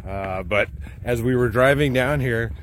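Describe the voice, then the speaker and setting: A man's voice: mid-range voice, measured pace. A man casually narrating a road-trip vlog from his truck, rambling with lots of fillers. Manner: relaxed, rambling, many fillers. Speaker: vlogger. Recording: loud road and engine noise. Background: vehicle noise.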